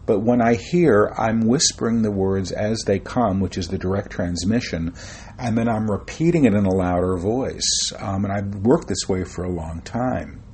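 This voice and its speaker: Deep-voiced rambling middle-aged man. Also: moderate pace, a little mellow, slightly rough. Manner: rambling, frequent "you know" fillers and restarts; self-described shy and reserved. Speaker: guest, spiritual channeler and author. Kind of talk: conversational interview.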